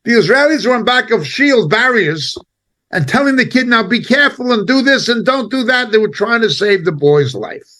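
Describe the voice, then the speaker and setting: A man giving impassioned spoken commentary as a preacher, indignant and emphatic, speaking in short halting phrases. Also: extremely high pitch, lively intonation, pushed and bright.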